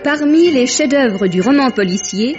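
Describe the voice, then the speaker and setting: A woman's voice: high-pitched, expressive, normal speed. A woman reads formal scripted programme credits as a radio drama announcer. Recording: dull vintage radio recording with music. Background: prominent music.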